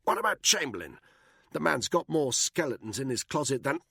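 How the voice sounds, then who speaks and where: A man's voice: high voice, wildly swooping pitch, normal speed, husky, no room sound, studio quality, pitched above the performer's own voice, exaggerated voice. A man voicing a panicky, cowardly official who stammers and trips over his words. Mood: flustered.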